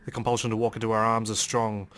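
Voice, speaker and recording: A man doing a let's play, reading a game's narration aloud in an expressive, dramatic storytelling way. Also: on the low side, fast talker, a little hiss or hum. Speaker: let's player.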